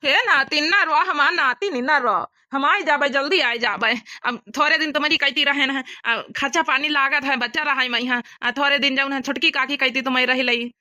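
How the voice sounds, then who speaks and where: An old village grandfather as acted by a woman in an Awadhi cartoon, with a high, bright voice. He grumbles but is fond, speaking with an Awadhi accent in a dry recording. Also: a little fast, few pauses, no echo. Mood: consoling. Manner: grumbling, colloquial. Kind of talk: Awadhi cartoon comedy voice acting.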